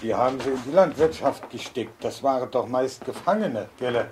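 A man's voice: medium pitch, lively intonation, normal speed, lightly acted. An actor playing an older village man, an earthy former deserter recounting his memories colloquially in a naturalistic radio drama. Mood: matter-of-fact recollection. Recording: noisy location-style radio recording.

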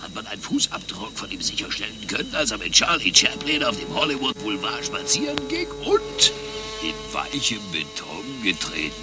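An actor playing a melodramatic agent giving a conspiratorial briefing in a satirical radio play, now hushed, now emphatic. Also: male voice, on the low side, huge pitch swings, normal speed, music in the background. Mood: conspiratorial, awed. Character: melodramatic male agent briefing on a feared master criminal.

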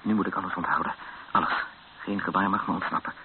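A man's voice: low-pitched, steady tone, quick pace. A male actor playing a captive Dutchman in a radio drama, recounting and living his ordeal in a sober, earnest, tense way. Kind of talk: first-person radio drama narration and dialogue. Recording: tinny old radio recording with clear noise.

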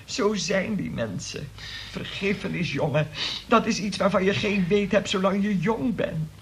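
A woman's voice: mid-range voice, measured pace, slight background noise, close to an everyday voice. An actress playing a pious, doting, easily shocked mother in a radio play, sighing and fussing as she speaks. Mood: pious, admonishing.

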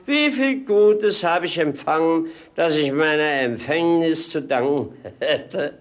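A man's voice: on the high side, slow pace. A man acting an impudent, gluttonous servant in a comic radio play, full of mock-pompous wit. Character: cheeky, gluttonous male servant, witty idler and rogue.

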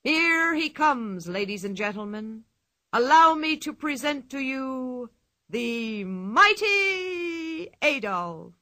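A woman reading a storybook aloud, voicing a loud, showy male circus ringmaster who stretches his words as he announces. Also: lively intonation, dry recording, clean studio sound, exaggerated voice. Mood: grandly announcing. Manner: booming, drawn-out showman announcing.

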